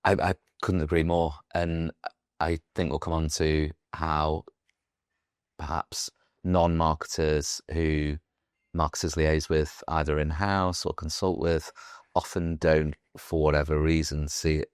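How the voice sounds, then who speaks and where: A man hosting a podcast, asking questions in a halting way with repeated words. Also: very deep voice, level tone, clean tone, dry recording, studio quality. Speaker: podcast host. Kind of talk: interview questions.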